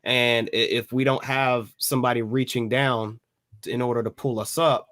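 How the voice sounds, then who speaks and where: A man co-hosting an opinionated podcast discussion, earnest and deliberate, mixing formal phrasing with slang. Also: medium pitch, fairly even intonation, fairly bright tone, dry recording, clean studio sound. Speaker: podcast co-host.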